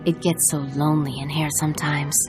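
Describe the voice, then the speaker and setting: Whimsical, low-voiced ancient guardian played by a woman. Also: flat delivery, fairly quick, music in the background, lightly acted. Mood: playful. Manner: playful, talkative. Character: chatty, whimsical ancient female archive guardian. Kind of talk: video game dialogue.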